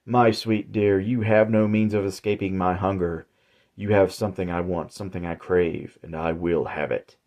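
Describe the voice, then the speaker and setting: A man's voice: low-pitched, fairly even intonation, normal speed, darkish tone. A man voicing a cruel old male cannibal killer who talks in a sly, gloating, menacing way. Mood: hungry.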